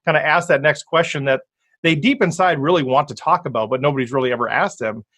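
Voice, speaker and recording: An upbeat, chatty man hosting a casual podcast interview, his voice sitting a bit high for a man. He laughs easily and keeps the talk loose and friendly. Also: fast, a bit bright. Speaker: podcast host.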